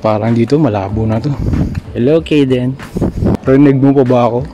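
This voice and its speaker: A man's voice: mid-range voice, moderate pace, muffled recording, heavy background noise. A man with a Filipino accent talking casually on a walking vlog, breaking off to make radio check-ins on a walkie-talkie. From Philippines. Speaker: vlogger testing walkie-talkies. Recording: loud music over the voice. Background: loud music.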